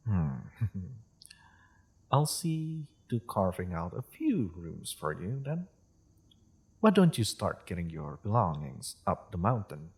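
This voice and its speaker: Man voicing a husky, low, courteous half-dragon. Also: very animated, full character voice. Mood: flustered surprise, then pleased, courteous. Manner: measured, formal, deliberate storyteller-like phrasing. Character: polite, solemn male half-dragon seeking peace with humans. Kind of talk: fantasy audio roleplay addressed to the listener.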